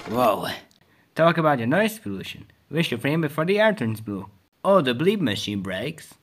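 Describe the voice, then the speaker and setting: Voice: medium pitch, big pitch swings, music in the background, lightly acted. A performer voicing a cheeky, wisecracking cartoon kid with a glib, sarcastic manner in a fan dub. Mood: wry. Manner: glib, quick-witted, sarcastic.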